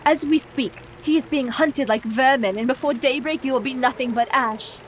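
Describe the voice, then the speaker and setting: A woman's voice: high voice, moderate pace, close to an everyday voice, rough recording. An actress playing a proper, resolute young wife facing vampires in a radio play, firm and formal in her speech. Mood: defiant. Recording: faint background noise.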